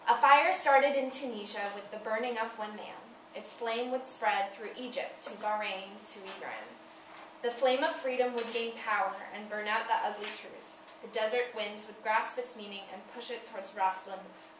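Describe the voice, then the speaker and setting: A woman's voice: on the high side, fairly even intonation, moderate pace. A woman reciting a poem earnestly in a declamatory way. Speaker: poetry reader. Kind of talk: poetry recitation. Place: echoey indoor event room, far from mic. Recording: distant mic, echoey room, loud background noise.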